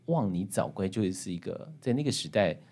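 A man's voice: on the low side, animated, brisk, darkish tone. A man with a Taiwanese Mandarin accent hosting a conversational book interview, reflective, building his long questions in short phrases. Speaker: podcast host interviewing a guest.

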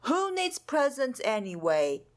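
A woman voicing a calm, practical storybook friend who comforts in a matter-of-fact way. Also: high voice, takes it slowly, exaggerated voice.

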